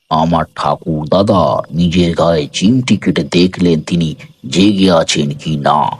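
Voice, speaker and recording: Guy narrating an audio story, husky voice, really unhurried, literary and measured. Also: a bass voice. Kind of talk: scripted dramatic narration.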